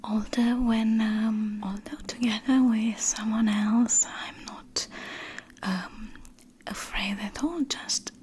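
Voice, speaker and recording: Woman, whispering ASMR, slow pace, flat tone, many pauses. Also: a little high, slight background noise. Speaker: ASMR collab guest.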